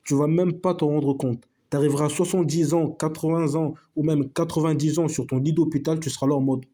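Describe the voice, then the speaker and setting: A man's voice: a little high, fairly even intonation, a little fast, mellow. A man giving a casual opinion monologue to his viewers, emphatic and repetitive, full of slang and asides to his friends. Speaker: video host.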